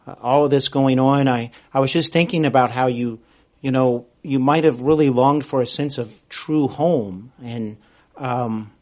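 A man hosting a conversational interview, feeling his way through questions with halting, repeated starts. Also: mid-range voice, darkish tone. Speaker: podcast host, interviewer.

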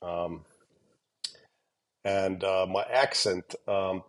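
A man from Finland with a Finnish accent tinged with American and British, talking in a relaxed interview with many fillers and restarts. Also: low voice, very slow. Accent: Finnish, with American and British touches. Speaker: guest scientist. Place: home or office in Helsinki.

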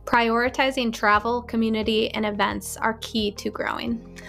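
A woman co-hosting a business podcast, giving quick tips in an upbeat, direct way. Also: a little high, little pitch movement, gravelly, fairly bright tone, soft background music. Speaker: podcast co-host. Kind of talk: rapid-fire podcast tips.

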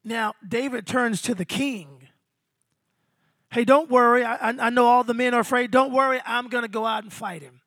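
A man of fifty, preaching a sermon with a hoarse, straining voice and calling out to the congregation. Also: extremely high pitch, normal speed, no echo, studio quality. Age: 50s. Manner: energetic, calls out to congregation. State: hoarse, losing his voice. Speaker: pastor. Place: small venue with congregation.

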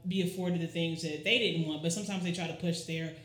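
A woman's voice: fairly low pitch, fairly even intonation, fast talker, fairly bright tone. A woman co-hosting a podcast in casual chat, emphatic and repeating her key phrases. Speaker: podcast co-host. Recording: roomy echo.

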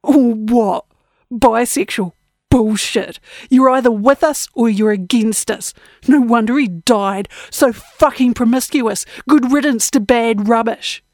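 Woman playing a high, sneering female bar bully. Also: unhurried, a little mellow, dry recording, clean studio sound. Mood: sneering, hostile. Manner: coarse, jeering, aggressive.

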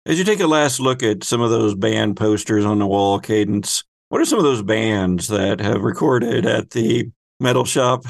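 Low gravelly man running a tabletop game. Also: animated, moderate pace, hardly any pauses, dry recording. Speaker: game master and host. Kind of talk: narrating a tabletop roleplaying game.

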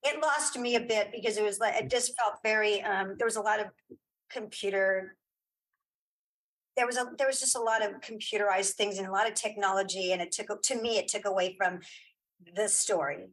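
A middle-aged woman chatting casually as an interview guest, giving opinions in halting, repeated phrases. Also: mid-range voice, a bit bright, low-quality recording. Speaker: guest, playwright and audio drama creator.

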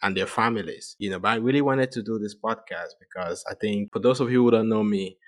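A man's voice: on the low side, a little fast. A man in his thirties hosting a podcast alone, talking casually in a rambling way with frequent restarts and "you know" fillers. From Cameroon. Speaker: podcast host. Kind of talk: casual solo podcast monologue.

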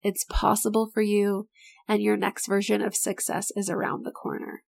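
A middle-aged woman hosting her own podcast, chatting in an enthusiastic, motivational way, her voice a bit raspy and tired. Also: mid-range voice, monotone, moderate pace, darkish tone. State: voice getting raspy, not feeling great. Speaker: podcast host and business owner. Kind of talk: casual solo podcast talk.

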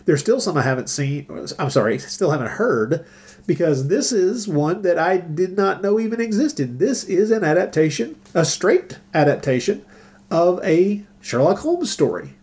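Middle-aged podcast host, chatty and enthusiastic, mid-pitched voice, relaxed conversational delivery. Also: moderate pace. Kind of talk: casual discussion of old radio dramas.

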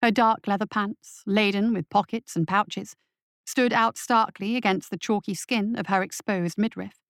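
A woman's voice: medium pitch, steady tone, moderate pace, no room sound, clean studio sound. A woman reading scripted fiction as an audiobook narrator, in a dramatic storytelling style.

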